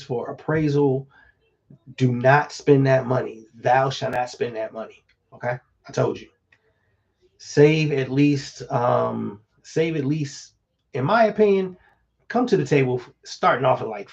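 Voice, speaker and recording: A man, slightly hoarse, giving casual real estate advice in a relaxed, conversational way with many fillers. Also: medium pitch, muffled recording. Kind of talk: casual live-stream advice talk.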